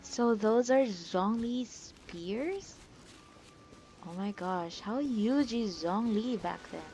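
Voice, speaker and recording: Slow, lively woman reacting to a game. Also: medium pitch, slight background noise. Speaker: game streamer reacting to a playthrough. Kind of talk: casual playthrough reactions. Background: video game audio.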